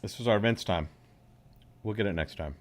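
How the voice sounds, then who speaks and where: A man from upstate New York hosting live bridge commentary, jokey and excitable with quick asides. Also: fairly low pitch.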